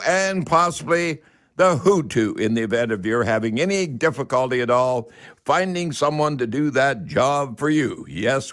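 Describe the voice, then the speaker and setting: A male radio host speaks at a middling pitch. His delivery is folksy and rambling, full of hesitations, as he addresses listeners on a live call-in show. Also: fairly bright tone.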